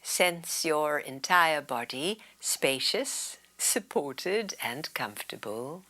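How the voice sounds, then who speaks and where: A woman leading a guided meditation as a yoga nidra teacher, speaking in a soothing, deliberate way with breathy, whispered phrase endings. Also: deep voice, lively intonation, bright, forceful tone.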